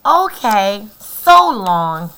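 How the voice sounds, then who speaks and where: A cheery tumbleweed lady, put on by a woman reader, calls out in a bright, lifted voice. She sounds breezy and friendly, like a sunny storybook neighbor. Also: medium pitch, very animated, extremely slow, clear, resonant tone, voice pushed up, exaggerated voice, rough recording. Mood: cheerful.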